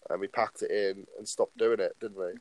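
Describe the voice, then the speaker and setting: A man's voice: on the low side, fairly even intonation, a little fast, a little mellow, no echo, rough recording. A nineteen-year-old man co-hosting a casual podcast, rambling along and filling his talk with "like" and "obviously". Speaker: podcast co-host.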